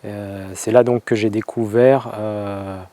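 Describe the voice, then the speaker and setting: A man from France telling his personal testimony, halting and full of hesitations and restarts. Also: lowish voice, steady tone, mellow. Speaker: convert telling his story.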